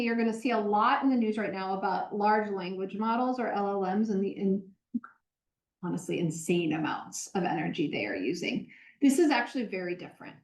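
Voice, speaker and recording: A woman professor answering audience questions in a lecture hall, explaining with energy, often pausing on "um" and correcting herself mid-sentence. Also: mid-range voice. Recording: roomy lecture hall sound.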